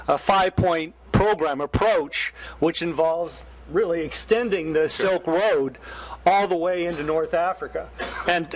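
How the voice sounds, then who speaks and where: Male panelist, impassioned, fillers and restarts, slightly high voice. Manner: passionate, many fillers and restarts, rallying calls. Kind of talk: public forum remarks.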